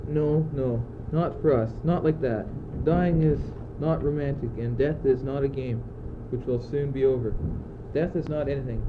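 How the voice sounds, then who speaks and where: A man's voice: mid-range voice, normal speed, few pauses, natural voice. A teenage male student acting an anguished, philosophical courtier facing death in an amateur radio play, speaking in earnest declamation. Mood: grave, intense. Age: teens. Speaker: student actor. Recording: muffled, noisy old amateur recording.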